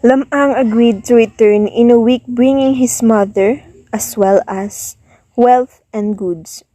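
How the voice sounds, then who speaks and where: A woman's voice: on the high side, steady tone, takes it slowly, warm tone, no echo. A woman narrator reading scripted storytelling narration of a folk tale.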